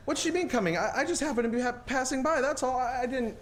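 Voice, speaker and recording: A man's voice: very high-pitched, quick pace, slight background noise. An actor playing a restless, stubborn young small-town man, stammering and bursting out in a 1940s-style radio play. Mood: awkward, defensive. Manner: stammering, hesitant, then bursting out.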